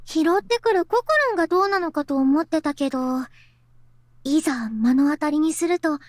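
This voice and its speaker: Woman voicing a very high-pitched anime girl. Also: rapid-fire, a little mellow, no echo, studio quality, close to an everyday voice. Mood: teasing wonder. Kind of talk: Japanese visual novel voice acting.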